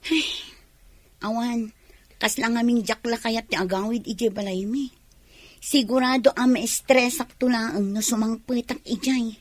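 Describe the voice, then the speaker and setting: A woman's voice: on the high side, steady tone, a little slow, no echo. A woman acting a defiant young adult daughter in an Ilocano radio drama. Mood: downcast.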